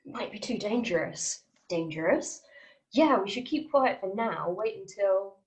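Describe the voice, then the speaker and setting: Woman acting secretive group leader, female, guarded, measured, serious, mid-pitched, drama table read. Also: lively intonation, close to an everyday voice. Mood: wary.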